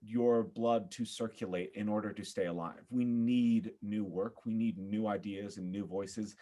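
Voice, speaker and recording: A man chatting casually as a podcast guest, with an animated, theatrical way of talking. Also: on the low side, unhurried. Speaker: former actor.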